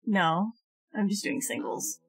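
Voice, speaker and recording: A woman's voice: medium pitch, measured pace, breathy edge. A young woman chatting casually on a game stream, complaining in a playfully whiny, dramatic way. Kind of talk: casual gaming stream chat. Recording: music behind the voice. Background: music.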